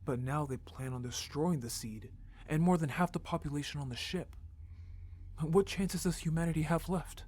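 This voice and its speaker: A man's voice: on the high side, normal speed, lightly acted. A man playing an anxious young rebel. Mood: alarmed. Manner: soft storytelling, turns urgent and upset. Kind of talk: audio drama acting.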